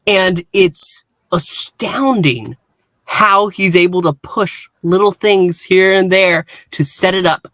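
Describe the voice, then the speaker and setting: A man's voice: high voice, takes it slowly, fairly bright tone, no room sound. Earnest man, close dry sound. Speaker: podcast panelist. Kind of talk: casual fan review discussion.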